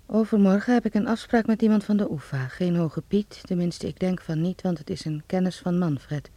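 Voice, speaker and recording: A young son in a radio play, reading his letters home to his mother. The voice is dark and mellow, recorded close and dry. He sounds intimate, reassuring and reflective. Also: sits high, normal speed, no echo. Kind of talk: radio drama letter monologue.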